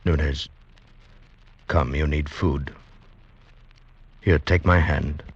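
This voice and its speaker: An actor in a 1940s radio drama playing a stern older man who rescues and feeds an exhausted traveller, in a husky, commanding voice. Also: rumbling low, steady tone, slow, full character voice. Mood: concerned. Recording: dull lo-fi old radio recording, voice set back from the mic.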